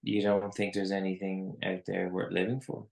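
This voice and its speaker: A man's voice: deep voice, level tone, normal speed, creaky, a little mellow. An actor playing a shaken man who takes charge of a crisis in a live screenplay reading. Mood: subdued. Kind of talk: live screenplay table read acting.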